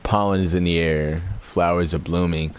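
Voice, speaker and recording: A man's voice: deep voice, fairly even intonation, normal speed, clear, resonant tone. A young man giving rambling, profane, drunk gameplay commentary, with a congested voice. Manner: rambling, profane, loose and slurred. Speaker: gaming streamer.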